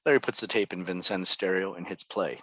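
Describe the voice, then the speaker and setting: Bright, low-voiced man reading stage directions. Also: fairly quick, husky, no echo, clean studio sound. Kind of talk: scripted narration at a table read.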